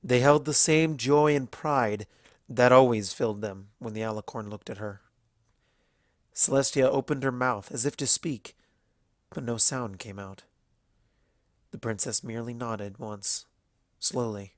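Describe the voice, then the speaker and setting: Measured male storyteller, dry close sound. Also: medium pitch, no echo. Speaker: narrator. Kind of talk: scripted dramatic fiction narration.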